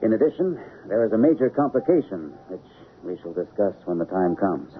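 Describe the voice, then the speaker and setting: Low-voiced, composed radio-drama surgeon. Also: male voice, big pitch swings. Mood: formal, instructive. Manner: clipped commands, measured formal explanations. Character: composed, authoritative male chief surgeon lecturing while operating. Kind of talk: old-time radio drama acting. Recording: narrow, noisy old radio recording.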